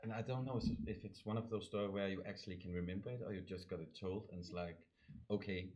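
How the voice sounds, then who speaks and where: A man's voice: low voice, fast talker, a little mellow. A young man from Denmark with a Danish accent, chatting casually and hesitantly as a guest, circling around his point. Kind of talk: casual interview chat.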